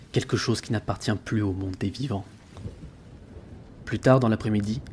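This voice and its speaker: A man playing a haunted, uneasy sailor telling his journal in a tense, measured way for an audio drama. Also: lowish voice, a little mellow, slight background noise, close to an everyday voice. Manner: tense, suspenseful, measured storytelling. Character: haunted young male sailor keeping a journal at sea, uneasy, rational. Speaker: narrator and lead actor of an audio drama. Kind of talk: dramatic first-person narration, audio fiction. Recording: prominent music behind the voice. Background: dramatic music.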